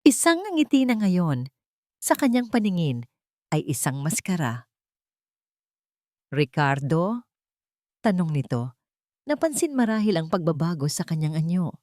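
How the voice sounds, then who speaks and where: A woman reading dramatic scripted story narration in a measured way, with short weighted phrases. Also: fairly low pitch, big pitch swings, warm tone, dry recording, clean studio sound.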